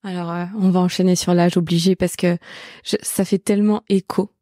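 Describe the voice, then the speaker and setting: A woman's voice: fairly low pitch, flat delivery, fairly quick, mellow, no room sound, studio quality. A woman in her late thirties hosting an intimate conversational podcast. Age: late 30s. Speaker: podcast host.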